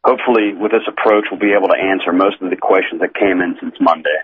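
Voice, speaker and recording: Deep-voiced man on tinny phone line. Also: fairly even intonation, fast talker. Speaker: moderator reading investor questions. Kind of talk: formal conference call moderation. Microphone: telephone. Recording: noisy, tinny telephone conference line.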